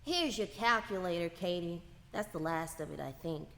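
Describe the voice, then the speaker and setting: A woman's voice: fairly low pitch, lively intonation, moderate pace, a bit bright. A woman playing a sulky, impulsive young schoolboy in a radio play, whiny and slangy. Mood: upbeat. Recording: reverberant hall sound.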